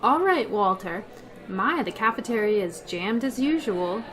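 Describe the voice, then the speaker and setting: A medium-pitched voice for a wry female schoolteacher, acted by a woman. She is long-suffering and dryly sarcastic, landing her remarks like the lead of a classic radio sitcom. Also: expressive, measured pace, fairly bright tone, poor audio. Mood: wry, brisk. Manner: dry, sarcastic. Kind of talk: old-time radio comedy acting.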